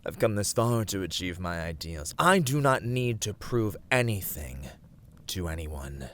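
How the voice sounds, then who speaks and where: A man playing an arrogant, teasing villain warlord who craves control, in a theatrical ASMR roleplay, with breathy, mocking, drawn-out delivery. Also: deep voice, sing-song, fairly bright tone, heavily acted. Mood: commanding, imperious. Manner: haughty, languid, mocking, drawn-out words.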